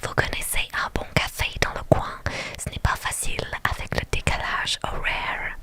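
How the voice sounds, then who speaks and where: A woman voicing an excited young American newcomer to Paris in a story game, in an eager, slightly flustered breathy whisper. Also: normal speed, close to an everyday voice. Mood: curious.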